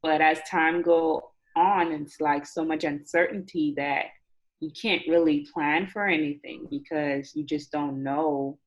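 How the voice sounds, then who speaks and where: Low-voiced young woman, chatting candidly. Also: fairly even intonation, measured pace. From Nassau, Bahamas. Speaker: interview guest, chemistry graduate student. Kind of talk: casual chat. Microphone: video call. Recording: dull, lo-fi video call audio.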